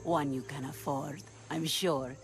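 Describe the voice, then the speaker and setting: A woman's voice: low voice, fairly even intonation, a little slow, vocal fry, over music. An actress playing a shrewd, confident woman pirate leader who speaks in a commanding, dry, bargaining way in a game cutscene. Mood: cool. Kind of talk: video game cutscene acting.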